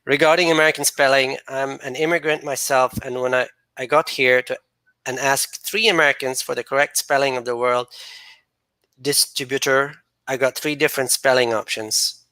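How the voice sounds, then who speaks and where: A middle-aged man whose first language was Arabic, hosting a relaxed livestream, rambling and reading out viewer comments. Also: mid-range voice, moderate pace, dry recording, rough recording. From grew up speaking Arabic and English, then England and Norway. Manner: relaxed, rambling, teasing with frequent laughs. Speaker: channel host reading live chat. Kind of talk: casual livestream chat.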